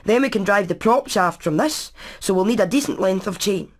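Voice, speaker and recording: A woman voicing a practical, clever engineer hen in an animated game, methodical and matter-of-fact. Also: mid-range voice, a little fast, close to an everyday voice. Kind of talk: animated video game voice acting.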